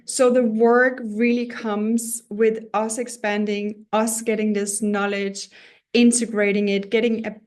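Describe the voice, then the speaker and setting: A woman's voice: mid-range voice, level tone, a little slow, a little mellow. A woman from Denmark in her thirties with a Danish accent, giving the introduction to an online parenting course in an earnest, personal way with small restarts and self-deprecating asides. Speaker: course teacher.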